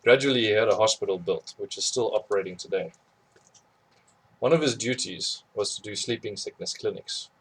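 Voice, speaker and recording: A man reading a memoir aloud in a plain, steady storytelling manner. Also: lowish voice, fairly quick, slightly rough, poor audio. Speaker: audiobook reader.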